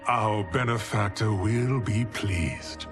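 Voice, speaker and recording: An actor playing a sinister, gloating sorcerer in a video game, with a florid, savoring delivery. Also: male voice, on the low side. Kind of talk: dramatic video game acting. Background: dramatic score.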